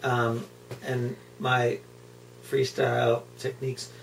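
A man's voice: medium pitch, completely flat delivery, takes it slowly, vocal fry. A middle-aged man talking casually and reflectively to a camera, emphatic and repeating words for effect. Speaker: guide dog puppy raiser. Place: indoor room at home, seated on floor. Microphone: camera mic a few feet away. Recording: distant camera mic, hum and background noise.

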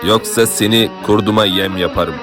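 A man playing a bold young wandering warrior in an animated adventure, with a terse, defiant manner. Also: fairly low pitch, normal speed, close to an everyday voice. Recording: clearly audible music behind the voice.

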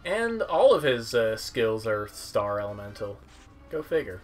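A man doing casual game commentary as a streamer, halting as he goes and dropping wry asides. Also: fairly low pitch, sing-song. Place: small room at home, indoors. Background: game music.

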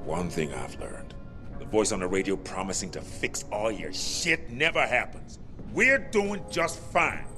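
An actor playing a tough, cynical zombie apocalypse survivor in a video game, blunt, streetwise and foul-mouthed. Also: male voice, lowish voice, very animated, a little slow, in a large hall, over music. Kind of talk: video game cutscene acting.

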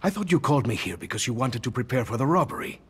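A man playing a stoic, courteous hitman with a husky voice, speaking formally and deadpan in a video game cutscene. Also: medium pitch, quick pace. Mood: puzzled, formal.

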